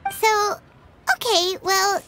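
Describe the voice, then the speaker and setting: A woman voicing a naive, curious little fairy companion in a video game, with a squeaky childlike voice. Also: fairly even intonation, drawn-out words, bright, forceful tone, exaggerated voice. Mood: puzzled, curious. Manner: refers to self in third person, childlike, questioning. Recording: music behind the voice.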